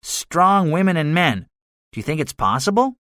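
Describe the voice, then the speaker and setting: A man's voice: a little high, very animated, normal speed, sharp tone, dry recording, studio quality, pitched above the performer's own voice. A man voicing a cynical, boastful soldier survivor in a dramatized story, speaking emphatically with a swaggering manner. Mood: boastful, scheming. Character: cynical, boastful male soldier, excitable survivor with grand schemes. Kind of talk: dramatized audiobook dialogue.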